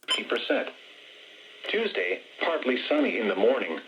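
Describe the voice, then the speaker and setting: A man's voice: medium pitch, wildly swooping pitch, moderate pace, creaky, fairly bright tone. A man's voice reading a scripted weather forecast bulletin, heard through a small weather radio. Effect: played through a small weather radio. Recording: noisy radio broadcast played in room.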